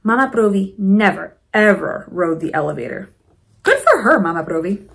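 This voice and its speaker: A woman reading a storybook aloud to children in an expressive, encouraging way, with teaching asides. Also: mid-range voice, normal speed, creaky. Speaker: principal reading a picture book to children.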